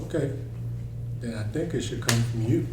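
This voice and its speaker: A man's voice: medium pitch, moderate pace, natural voice. A man playing an always-angry, suspicious father in a scripted table read. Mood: guarded. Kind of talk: scripted dialogue read aloud. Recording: roomy, distant mic, faint hum.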